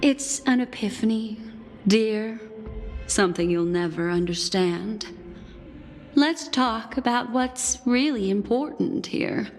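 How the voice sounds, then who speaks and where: A woman playing a cool, wary, sharp-minded rival in a video game, with a measured, dry, accusing and dismissive manner. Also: fairly high pitch. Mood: dry disdain. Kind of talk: dramatic video game voice acting. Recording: long reverb, game music behind.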